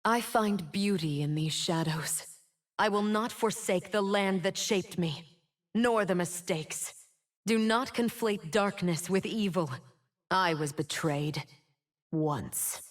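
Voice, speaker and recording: A woman's voice: mid-range voice, natural voice. An actress voicing a proud, wounded, immortal winged woman in a video game, speaking with solemn, dramatic defiance. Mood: wounded, defiant. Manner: slow, solemn, dramatic delivery. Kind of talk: scripted game character voice lines.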